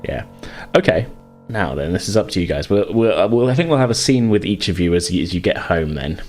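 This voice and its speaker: A man's voice: low voice, lively intonation, fairly quick, darkish tone, soft background music. A man acting as game master, improvising tabletop game narration in informal, hesitant phrasing.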